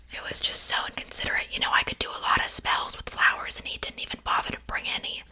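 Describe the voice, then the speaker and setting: A woman playing a gossipy, casually haughty witch in an ASMR roleplay, whispering breathily in an unhurried, confiding way. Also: bright, forceful tone. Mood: gossipy, rueful. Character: chatty, gossipy witch cooking a mortal into stew.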